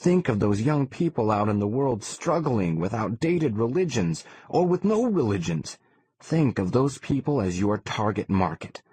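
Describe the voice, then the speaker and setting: A man's voice: lowish voice, sing-song, moderate pace, darkish tone, breathy edge, no room sound, voice pushed up. A man voicing a pushy, slick publicity agent in an audiobook, emphatic like a salesman. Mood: persuasive. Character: pushy, slick male publicity agent, yelling his ideas.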